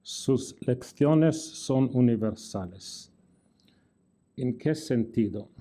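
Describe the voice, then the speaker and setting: A man's voice: mid-range voice, lively intonation, very slow, dark tone. An older man with an Italian-tinged accent giving a formal lecture in careful non-native Spanish, with wry asides and restarted words. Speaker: guest lecturer. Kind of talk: formal lecture with dry jokes.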